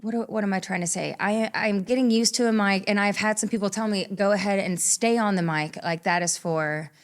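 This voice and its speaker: A woman's voice: mid-range voice, fairly quick. A woman, chatting casually as an interview guest in a small recording studio, rambling and laughing often. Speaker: singer-songwriter guest.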